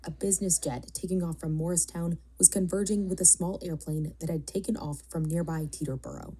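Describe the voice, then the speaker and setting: Low, very dark-voiced female news narrator. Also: fairly even intonation, a little fast, slightly rough. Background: soft music bed.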